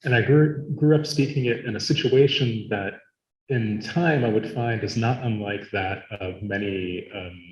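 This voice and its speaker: Man from Arizona, Latvian first language, lower voice, measured lecture with fillers, roomy hall sound. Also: lo-fi sound. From Arizona, United States. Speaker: academic speaker. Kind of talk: conference lecture.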